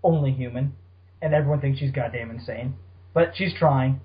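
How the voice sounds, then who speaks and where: A man's voice: mid-range voice, moderate pace. A man hosting a podcast, chatting casually about fan fiction with banter and frequent swearing. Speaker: podcast host. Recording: dull lo-fi podcast audio.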